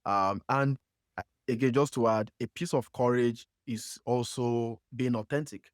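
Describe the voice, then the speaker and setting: A man's voice: medium pitch, steady tone, a little slow, dry recording, clean studio sound. A man with a Nigerian accent hosting a marketing podcast, asking long questions with lots of "uh" and restarts. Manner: hesitant, many fillers and restarts when asking questions. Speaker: podcast host. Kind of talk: conversational interview.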